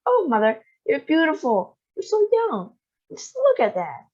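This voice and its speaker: A woman voicing a frantic middle-aged mother who wails in shrill alarm. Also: huge pitch swings, unhurried, darkish tone, lo-fi sound, exaggerated voice. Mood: delighted.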